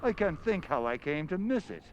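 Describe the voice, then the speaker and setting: An earnest police inspector in a radio play, acted by a man. His voice is a little high, with formal, methodical delivery and slight hesitation. Faint noise and clicks sit behind him. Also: big pitch swings, normal speed, highs cut off. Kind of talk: old-time radio drama acting.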